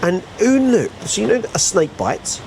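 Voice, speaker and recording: A man's voice: high voice, sing-song, normal speed, creaky, a little mellow, low-quality recording. A forty-year-old man telling drinking stories in a casual podcast chat, joking about his age. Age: 40s. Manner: jokey storyteller, self-mocking about age. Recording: faint background noise.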